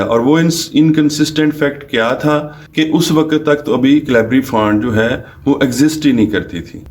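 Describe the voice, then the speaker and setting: A man with a Pakistani accent speaking as a lawyer teaching a lesson, explaining with examples, restating his points and mixing in English legal terms. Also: mid-range voice. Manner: didactic, rephrases and repeats points. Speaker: lawyer giving a law lesson.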